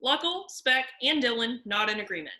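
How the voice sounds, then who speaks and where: Woman reading script directions, bright crisp voice, a bit high, echoey room. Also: animated, normal speed. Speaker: narrator reading stage directions. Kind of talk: scripted narration for comedy table read. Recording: roomy echo.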